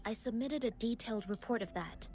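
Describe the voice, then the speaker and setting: A calm female investigator voiced by a woman in a story game. She speaks in a slightly high, polite and measured way. Soft music plays under her. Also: lightly acted. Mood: composed. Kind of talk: game voice acting.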